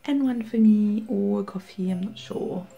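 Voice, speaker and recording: A woman in her thirties chatting casually to camera and to her young children in a home vlog, sing-song and animated with the kids. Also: medium pitch, unhurried, creaky, breathy edge, muffled recording. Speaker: vlogger and mother. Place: indoors at home, kitchen and bedroom.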